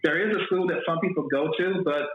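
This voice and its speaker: A man's voice: on the high side, quick pace, a little hiss or hum. A man in his forties from Mississippi, guest talking casually about his work as a flight attendant, rambling and repeating himself. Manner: repeats words, loose and rambling. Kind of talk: casual interview talk. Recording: echoey room, phone-like audio.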